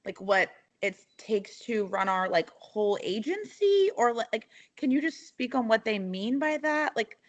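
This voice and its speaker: A woman's voice: fairly high pitch, lively intonation, measured pace, hardly any pauses. A woman taking part in a work conference call, asking questions in an informal way. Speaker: meeting participant. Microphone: online conference call.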